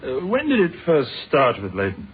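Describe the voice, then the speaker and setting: British police inspector, tinny radio sound. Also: male voice, medium pitch, wildly swooping pitch, slight background noise. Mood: polite, probing. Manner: measured, courteous, formal questioning. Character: British police inspector, polite, probing, quietly dry storyteller. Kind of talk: radio drama acting. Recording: thin phone-like radio sound, faint music.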